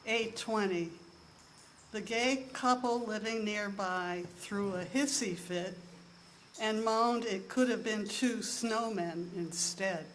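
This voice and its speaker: A woman with a soft voice performing a deadpan comic monologue at a live show, in a measured, list-like delivery with timed pauses. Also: mid-range voice, a little hiss or hum, rough recording.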